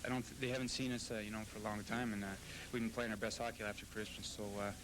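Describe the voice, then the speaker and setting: A man's voice: on the low side, flat delivery, quick pace. A man from a hockey team giving a casual postgame interview. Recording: noisy 1990s TV interview tape.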